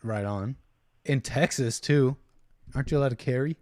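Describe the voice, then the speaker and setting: A man's voice: mid-range voice, moderate pace, a little mellow, no room sound, clean studio sound. A man hosting a casual chat, skeptical and teasing, who often trails off mid-sentence. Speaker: host.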